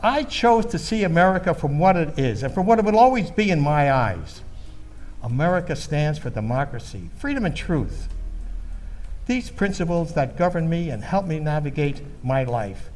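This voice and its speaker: An actor playing an earnest, patriotic baby-boomer storyteller in an emphatic, solemn stage monologue. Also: male voice, very animated, normal speed, darkish tone, faint music behind. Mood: solemn, resolute.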